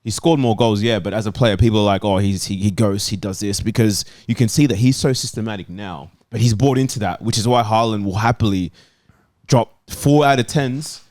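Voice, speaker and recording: A man with a fairly low voice talks through football points casually but analytically. He explains in a conversational way, recorded dry with hardly any room sound. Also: fairly quick, a little mellow, dry recording. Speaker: football panel show regular.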